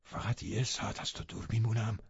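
Storybook role: man playing passionate brooding lover, low-volume intensity, breathy husky delivery, echoey room sound. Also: steady tone, dull audio, full character voice. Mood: urgent pleading. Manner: hushed, intense, imploring. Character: brooding, passionate male lover in a forbidden romance.

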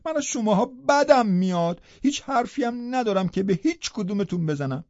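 A man's voice: high-pitched, animated, darkish tone, no echo, highs cut off. A man reading an audiobook, voicing a proud, bitter young woman who scolds with contempt.

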